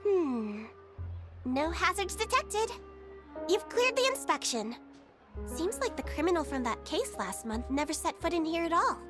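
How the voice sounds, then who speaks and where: A woman's voice: very high voice, sing-song, unhurried, heavily acted. A woman voicing a small, cheerful, polite creature in a game who inspects shops. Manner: polite, earnest, proud of her special eyes. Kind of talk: game voice acting. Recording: game dialogue over music.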